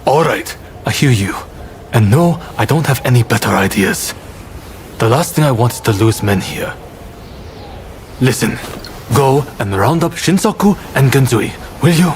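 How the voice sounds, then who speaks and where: A composed, wary male samurai master in a video game. His voice is mid-pitched and guarded, with faint ambience behind it. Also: lively intonation, unhurried, a little hiss or hum.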